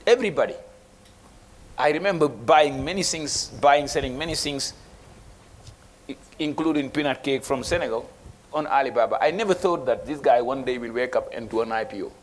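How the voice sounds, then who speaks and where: A man's voice: mid-range voice, a little hiss or hum. A man speaking as an investment banker on a panel, emphatic, with rhetorical questions and frequent restarts. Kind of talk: motivational panel talk.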